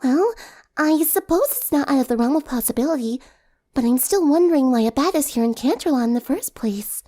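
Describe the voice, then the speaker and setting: Woman putting on a timid high-voiced teenage girl. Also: expressive, fairly quick. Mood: hesitant. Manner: hesitant, gentle. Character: timid, gentle, soft-hearted teenage girl.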